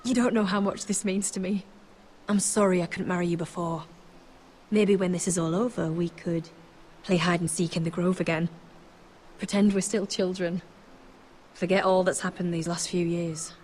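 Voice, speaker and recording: A woman's voice: fairly low pitch, fairly even intonation, normal speed, pauses often, a little mellow, a little hiss or hum, lightly acted, low-quality recording. A young woman acting as a determined, anguished noblewoman in a dramatic fantasy video game, with earnest intensity. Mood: tender.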